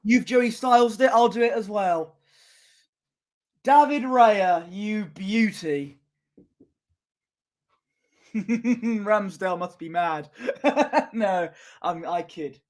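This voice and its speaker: A young man co-commentating on a football match as a fan, excitable and quick to laugh, stretching out his exclamations. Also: high voice. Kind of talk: casual watchalong co-commentary.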